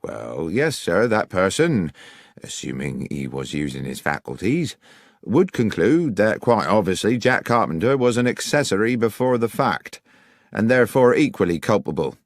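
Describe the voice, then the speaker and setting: A man's voice: on the low side, sing-song, normal speed, no echo, clean studio sound. A man voicing a self-important country police sergeant, pompous and condescending. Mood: smug.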